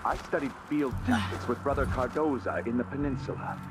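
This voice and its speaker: A man playing a confident, methodical religious soldier in a video game, measured and matter-of-fact. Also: medium pitch, lightly acted. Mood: focused. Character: confident, methodical male overseer trained in field tactics. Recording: loud noise and ambience behind.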